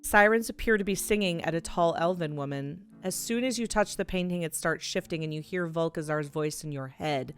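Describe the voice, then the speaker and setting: Casting: female let's play streamer, smooth resonant voice, expressive narration and exclamations, faint music underneath. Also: lowish voice, a little fast, hardly any pauses. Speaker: game streamer. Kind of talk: live let's play, reading aloud and reacting.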